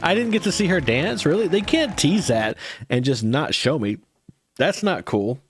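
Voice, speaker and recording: A man's voice: fairly high pitch, animated, moderate pace, soft background music. A man hosting a casual reaction livestream, chatting to viewers while he watches a clip.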